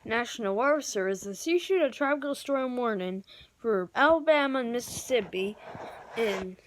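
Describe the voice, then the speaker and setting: A woman improvising mock emergency news and weather bulletins in a halting, stumbling amateur announcer delivery. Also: on the high side, lively intonation, dry recording, low-quality recording. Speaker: amateur video creator playing broadcast announcer.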